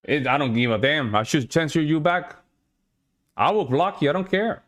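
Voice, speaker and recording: A man's voice: fairly high pitch, expressive, moderate pace, a bit bright. Man, spanish speaker, blunt, dismissive, casual reaction host. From Puerto Rico. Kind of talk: casual live reaction commentary.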